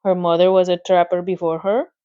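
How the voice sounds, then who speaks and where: A woman's voice: on the low side, flat delivery, brisk, mellow, clean tone, highs cut off, lightly acted. A woman reading a storybook aloud, voicing a clever, matter-of-fact female spider in a pleasant, thin voice with a put-on accent. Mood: lecturing. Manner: put-on accent, relishing, lecturing.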